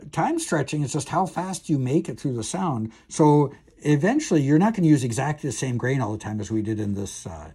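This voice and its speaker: A man's voice: on the high side, darkish tone, husky. A man lecturing as a professor on a technical subject, explaining step by step in a hesitant, thinking-aloud way with self-corrections.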